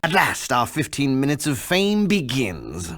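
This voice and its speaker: A man's voice: mid-range voice, huge pitch swings, unhurried, fairly bright tone, full character voice. A man voicing a refined, erudite chimpanzee in a video game, with a British accent and a pompous, genteel, wordy manner. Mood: dry, musing. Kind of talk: video game character voice clips.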